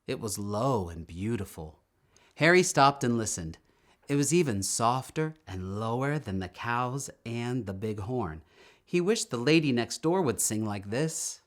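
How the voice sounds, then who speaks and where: A man narrates a children's picture book with a highly animated, swooping delivery. His voice sits at a middle pitch, and he tells the story deliberately and expressively. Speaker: storybook reader.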